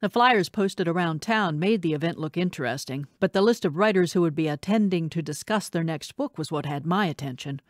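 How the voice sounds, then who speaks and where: A woman narrating an audiobook as a wry, candid schoolteacher in her twenties, in an expressive, conversational way. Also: lowish voice, brisk, hardly any pauses, no room sound, clean studio sound, natural voice. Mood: wry, matter-of-fact.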